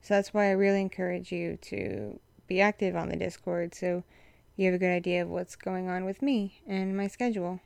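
A young woman with a froggy, hoarse voice from an infection, giving a rambling, frank update to her supporters. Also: on the low side, fairly even intonation, moderate pace, darkish tone, no echo. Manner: rambling, frank, many fillers. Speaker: content creator addressing patrons.

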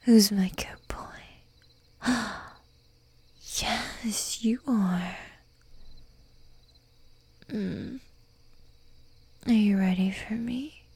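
A woman whispering close to the mic in an adult roleplay, dominant and teasing, giving commands in a breathy whisper. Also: mid-range voice, drawn-out words, pauses often, a little mellow.

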